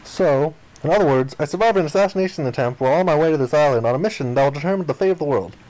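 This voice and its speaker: A man voicing a flippant, grandiose young amnesiac castaway, reading visual novel dialogue aloud in an expressive way. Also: a little high, rapid-fire, natural voice, low-quality recording. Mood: dramatic, insistent. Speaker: video game player reading aloud. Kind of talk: dramatic read-aloud of visual novel text.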